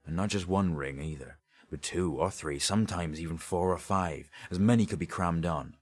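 A man's voice: low-pitched, moderate pace. A man voicing a haunted, greedy grave robber in an audiobook story, with a confiding, colloquial way of telling things. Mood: greedy relish.

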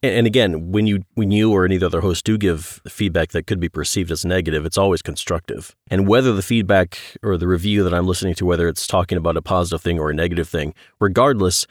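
A man's voice: low-pitched, no room sound, studio quality. A man hosting a conversational interview, speaking in a thoughtful, appreciative way. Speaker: podcast host, interviewer.

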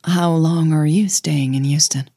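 A woman's voice: low-pitched, fairly even intonation, a little slow, mellow, husky, dry recording, clean studio sound, exaggerated voice. A woman voicing an insecure woman in love who hides her hurt in an audio drama, restrained and self-doubting. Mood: eager.

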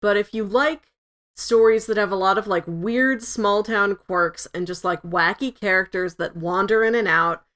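Female podcast panelist, mid-range pitch, informal conversational delivery, casually giving recommendations. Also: moderate pace, fairly bright tone. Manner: informal, peppers talk with "like".

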